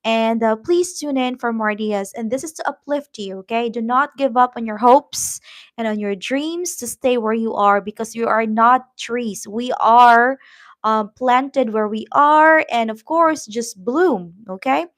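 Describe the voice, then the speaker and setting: Filipino woman, livestream host, slightly high voice, slow and encouraging with frequent fillers. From Philippines. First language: Tagalog. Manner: warm, encouraging, reads viewer comments, many "um" fillers. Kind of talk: casual live-stream chat.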